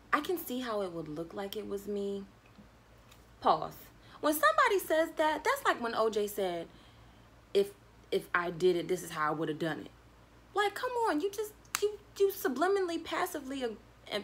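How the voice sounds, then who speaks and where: A young adult woman telling a personal story in a casual, slangy, animated way, acting out bits of dialogue as a vlogger would. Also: mid-range voice, a little hiss or hum.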